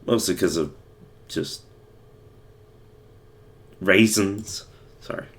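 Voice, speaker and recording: A hoarse young man who is losing his voice, rambling casually with many fillers while he streams a game. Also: low-pitched, extremely slow, a bit bright. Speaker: livestreamer playing a game. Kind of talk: casual gameplay commentary.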